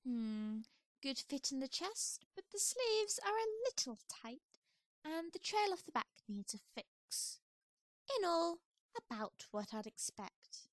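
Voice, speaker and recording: A posh lady pony from a cartoon-style audio play. The voice is high and swoops expressively, with fussy, genteel airs. It is recorded close and dry. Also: big pitch swings, slow pace, no echo, heavily acted. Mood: brisk, fussy. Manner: affected, genteel, dramatic. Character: refined, theatrical female fashionista pony, fussy and posh. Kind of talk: amateur audio drama acting.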